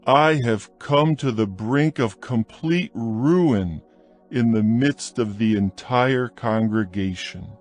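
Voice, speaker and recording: A man reading scripture aloud in a slow, measured, solemn dramatized narration. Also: on the low side. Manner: slow, measured, solemn recitation with lively rises on appeals. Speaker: Bible audio narrator.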